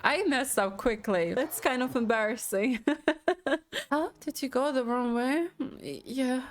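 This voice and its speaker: A woman's voice: a little high, lively intonation, measured pace. A woman giving casual reaction commentary as she plays a video game.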